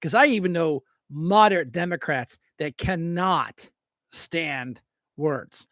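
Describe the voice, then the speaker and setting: Male American political talker, high-set voice, combative and plainspoken, informal delivery, very dry recording. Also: slow pace, a bit bright, dry recording. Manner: blunt, combative, folksy, repeats phrases for emphasis. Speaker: talk show host and local political candidate. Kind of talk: opinionated political rant, informal and off-the-cuff.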